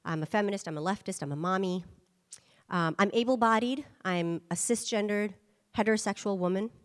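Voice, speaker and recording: A woman's voice: mid-range voice, fairly quick, smooth, long reverb tail. A woman giving a conference presentation, speaking emphatically and checking in with rhetorical questions. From United States. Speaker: guest speaker.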